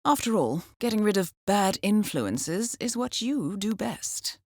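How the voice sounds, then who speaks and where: Poised, expressive female intelligence handler. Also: medium pitch, no echo, clean studio sound. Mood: wry, approving. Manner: poised, measured, dryly wry. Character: composed, coolly professional female intelligence handler guiding an assassin. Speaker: mission handler. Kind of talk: scripted video game mission briefing.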